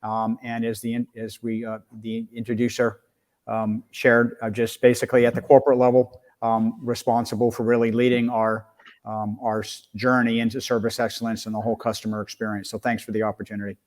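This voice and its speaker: A man's voice: mid-range voice, monotone, brisk. A man in his sixties with an American accent, introducing himself professionally as a panelist. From United States. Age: 60s. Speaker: panelist, healthcare executive.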